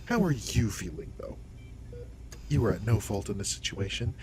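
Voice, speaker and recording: Man playing a low-voiced, reassuring alien doctor. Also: very animated, normal speed, noisy background. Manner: explanatory, reassuring. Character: calm male alien doctor, measured and reassuring. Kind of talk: science fiction audio roleplay acting.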